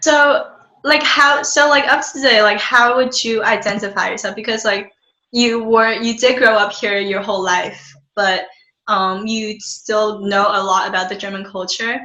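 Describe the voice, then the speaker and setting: A woman's voice: medium pitch, steady tone, normal speed, sharp tone. A teenage girl co-hosting, asking casual interview questions in a chatty way full of "like" and "um". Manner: chatty, lots of "like" and "um". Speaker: co-host. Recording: echoey room.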